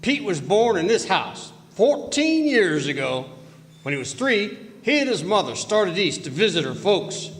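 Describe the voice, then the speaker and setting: A man's voice: high-pitched, big pitch swings, a little slow, exaggerated voice. A man acting a folksy, emphatic old rancher in a western radio drama. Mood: hearty. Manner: plainspoken, emphatic western drawl. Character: folksy, hearty old male rancher uncle. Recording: reverberant hall.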